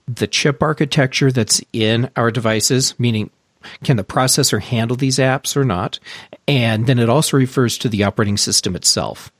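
A man hosts a relaxed tech podcast, walking listeners through the news step by step. His voice sits at a mid pitch, and the close mic sounds dry. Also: no room sound. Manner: chatty, explains things step by step. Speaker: podcast host. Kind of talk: casual tech podcast chat.

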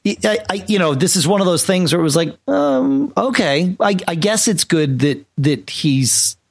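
A man's voice: sits high, big pitch swings, normal speed, vocal fry, no room sound, clean studio sound. A man co-hosting a casual podcast, joking and thinking aloud with many restarts. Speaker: podcast co-host. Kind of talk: casual tech podcast chat.

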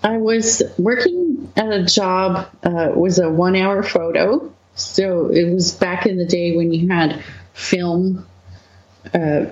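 A woman from Manitoba, Canada, a webcomic co-founder as the guest, talking through her past slowly with frequent laughs and fillers. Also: fairly low pitch, fairly even intonation, darkish tone, reverberant, lo-fi sound. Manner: slow, laughing often, many fillers. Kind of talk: relaxed interview conversation.

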